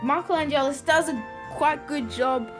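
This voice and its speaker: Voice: high voice, normal speed, over music. A video host giving informal, opinionated commentary in a casual style.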